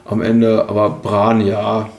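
A man's voice: lowish voice, monotone, takes it slowly, vocal fry, darkish tone, roomy sound. A man co-hosting a podcast, chatting casually and hesitantly with many fillers and restarts. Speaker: podcast co-host. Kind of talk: casual rewatch podcast chat.

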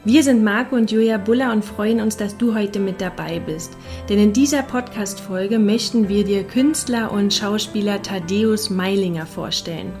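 A woman's voice: mid-range voice, moderate pace, hardly any pauses, darkish tone, soft background music. A woman podcast host speaking in a scripted, presenting style with interview questions.

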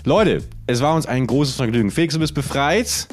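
A man's voice: medium pitch, animated, normal speed, faint music behind. A man co-hosting a podcast in casual comedic chat, telling things in a rambling, playful and theatrical way. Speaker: podcast co-host.

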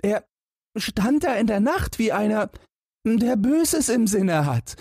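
Fairy-tale lad, played by a man: high, guileless, earnest, naive and carefree. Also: very animated, takes it slowly, darkish tone, husky, no room sound, clean studio sound, exaggerated voice. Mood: innocent, earnest. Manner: guileless, matter-of-fact, earnest. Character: naive, carefree boy who wants to learn to shudder.

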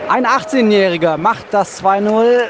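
A man's voice: sits high, moderate pace. A man hosting a football podcast, giving casual live impressions from the stands of a stadium. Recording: noisy stadium, loud crowd behind. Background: crowd noise and whistling.

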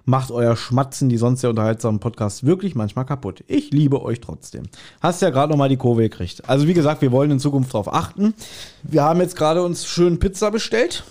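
A man's voice: mid-range voice, animated, fairly quick, a little mellow. Bantering German man, podcast co-host. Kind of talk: casual bantering podcast chat.

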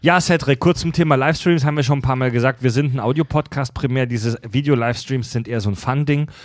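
A man hosting a casual podcast, reading listener letters in a blunt, crude way. His voice sits at a middle pitch. The recording is dry and close, with no room sound. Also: fast, no room sound. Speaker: podcast host.

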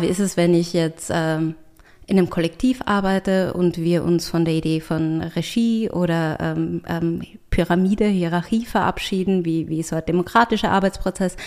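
Low-voiced woman, thoughtful guest. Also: steady tone, moderate pace. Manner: thoughtful, searching for words, many fillers and restarts. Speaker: writer and artist, guest. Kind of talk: reflective conversational interview.

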